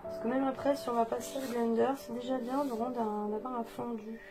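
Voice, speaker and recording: French woman, congested with a cold, hesitant and chatty tutorial voice, clear guitar music underneath. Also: a little high, level tone, a little fast. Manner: chatty, hesitant, frequent fillers and restarts. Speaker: coloring tutorial artist. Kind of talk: relaxed step-by-step drawing tutorial. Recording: with guitar music playing behind.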